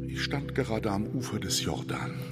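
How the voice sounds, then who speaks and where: A man's voice: on the low side, animated, moderate pace, long reverb tail, over loud music, close to an everyday voice. A man playing a pompous, grumpy reverend with theatrical preacherly exclamations in a German radio crime drama. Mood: grandiose, indignant. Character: pompous Harlem reverend, grumpy at being woken.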